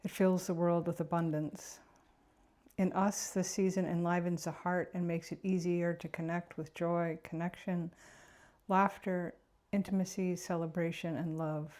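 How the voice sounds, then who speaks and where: A woman narrating a guided meditation in a gentle, unhurried, reflective way. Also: lowish voice, little pitch movement.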